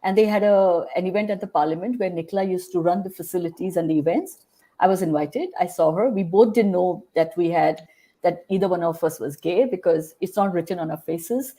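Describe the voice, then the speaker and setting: A woman hosting a casual interview, chatty and quick to laugh. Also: on the low side, dark tone, low-quality recording. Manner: chatty, laughs often, mixes in Hindi words. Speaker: podcast host.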